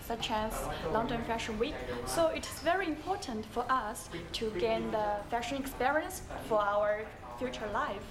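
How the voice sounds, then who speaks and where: A woman's voice: fairly high pitch, moderate pace, few pauses, music in the background. A woman in her twenties giving a promotional presentation as a student. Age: 20s.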